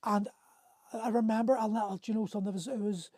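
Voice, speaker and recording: Man, middle-aged, livestream host, emotional storytelling, frequent swearing, halting restarts, warm mellow voice. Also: high voice, fairly even intonation, dry recording, clean studio sound. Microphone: phone on a livestream.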